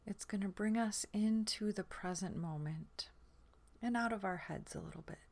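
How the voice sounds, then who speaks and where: A woman leading a guided sleep meditation in a gentle, soothing manner, her soft voice often trailing into a breathy near-whisper. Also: medium pitch. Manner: soothing, gentle, unhurried with long pauses.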